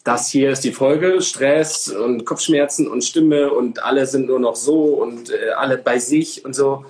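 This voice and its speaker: A man's voice: mid-range voice, a little slow, darkish tone. A talkative man chatting enthusiastically as a guest in an interview, with many fillers. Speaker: singer and coach, interview guest. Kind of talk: casual interview chat.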